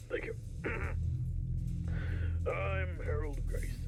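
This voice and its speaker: A man playing a proud, visionary cartoon studio founder giving a halting, earnest, folksy recorded address in an audio drama. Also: on the high side, rough recording. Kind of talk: old-time recorded announcement, audio drama.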